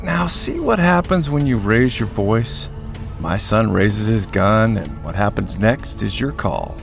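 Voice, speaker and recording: A calm, menacing crime boss in a radio drama. His voice is low with a gravelly fry, deliberate and threatening, over some music. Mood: cold menace.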